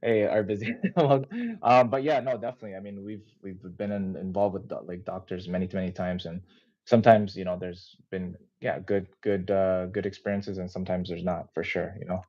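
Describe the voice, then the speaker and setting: A low-voiced man hosts a podcast in a loose, rambling way, full of fillers and restarts. His voice is mellow and warm, and it comes through a dull, muffled lo-fi recording. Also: normal speed. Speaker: podcast host. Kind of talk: casual interview chat.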